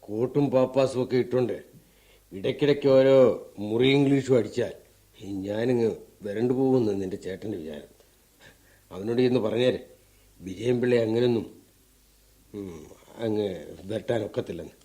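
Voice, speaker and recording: An actor playing a drunken, defiant, aggrieved husband in a radio drama, with a southern Kerala Malayalam accent and a blustering, grumbling delivery. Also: male voice, medium pitch, normal speed, long pauses, warm tone, lightly acted. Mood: belligerent. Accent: Kerala Malayalam, southern Travancore. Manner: blustering, grumbling, with frequent grunts. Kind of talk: mid-century Indian radio drama acting.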